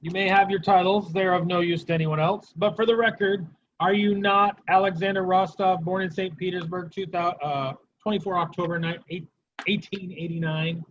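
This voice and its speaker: A man's voice: high voice, fairly even intonation, normal speed, a little hiss or hum, close to an everyday voice. A man playing a stern, sardonic Soviet prosecutor in an amateur dramatic reading, questioning formally and curtly. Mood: sternly sardonic. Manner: formal, clipped interrogation. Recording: dull, muffled lo-fi recording.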